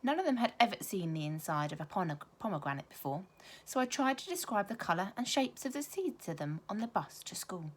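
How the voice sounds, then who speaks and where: A woman reads a children's storybook aloud expressively, like a primary teacher, with careful emphasis and dramatic pauses. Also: medium pitch, sing-song.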